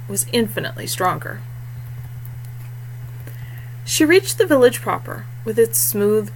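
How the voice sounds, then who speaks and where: A woman's voice: medium pitch, a bit bright. A woman in her thirties reading fiction aloud expressively, like an audiobook, recorded at webcam distance in a small room. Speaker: author reading own fiction. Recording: noisy home webcam recording with hum.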